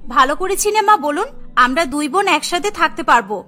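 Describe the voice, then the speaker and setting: A female narrator plays a meek young wife in a cartoon. Her voice is very high and bright, earnest and pleading, with music underneath. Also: animated, a little fast. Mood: hopeful. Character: meek, caring young daughter-in-law and mother. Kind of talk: animated moral-tale cartoon acting.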